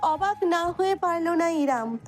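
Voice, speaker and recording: A woman's voice: very high voice, animated, a little slow, faint music behind. A woman reading scripted dramatic narration as the storyteller of an audio story.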